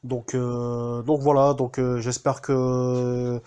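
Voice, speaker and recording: Un homme français qui témoigne de manière spontanée, en hésitant souvent et en reprenant ses phrases. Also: male voice, medium pitch, flat delivery, slow, dark tone, clean tone. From France. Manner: hesitant, many fillers and restarts. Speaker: student giving a testimonial. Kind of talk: casual spoken testimonial.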